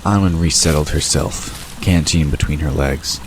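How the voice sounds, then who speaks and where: A man's voice: rumbling low, normal speed, poor audio. A man reading scripted story narration as the narrator of an audio drama. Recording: constant ambience noise behind narration.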